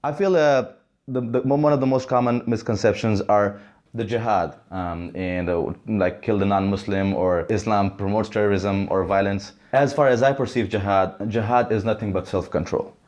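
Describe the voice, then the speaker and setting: A young man from Afghanistan, a student speaking calmly and measuredly in an interview, pausing on fillers. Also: fairly low pitch. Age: young adult. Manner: calm, hesitant with fillers, measured. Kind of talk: interview testimony.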